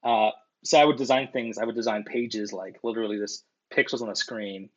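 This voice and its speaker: A man's voice: lowish voice, steady tone, fairly quick. A man in his thirties, a designer guest, chatting over a video call from his home office, with lots of fillers. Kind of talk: casual interview answers. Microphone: video call webcam. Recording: dull lo-fi video call audio.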